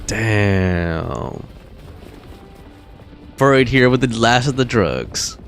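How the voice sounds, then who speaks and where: A man's voice: fairly low pitch, animated, extremely slow, gravelly, soft background music. A man doing casual live gameplay commentary in a slangy, reactive way with some swearing. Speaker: live streamer playing a game.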